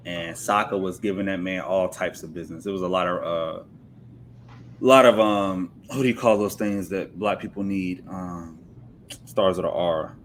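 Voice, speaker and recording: A man's voice: sits low, slight background noise. A man recounting matches on a casual sports podcast in a colloquial, joking way. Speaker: podcast guest, football fan.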